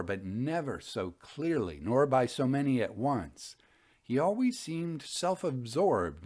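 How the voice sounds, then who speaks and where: Man telling stories with lively, swooping intonation. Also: medium pitch. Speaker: podcast host reading old ghost stories. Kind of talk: scripted storytelling narration, reading aloud.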